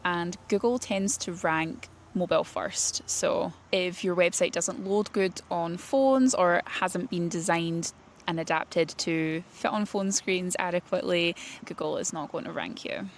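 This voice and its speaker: A woman's voice: lowish voice, measured pace, slight background noise. A woman presenting an informal instructional explainer in a plain-spoken, explanatory way. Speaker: presenter of a website tutorial series for writers.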